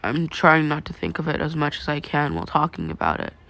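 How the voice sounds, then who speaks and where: A woman voicing a stoic, awkward teenage boy in an audio story, flat, quiet and sincere. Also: sits low, fairly quick, vocal fry, heavily acted.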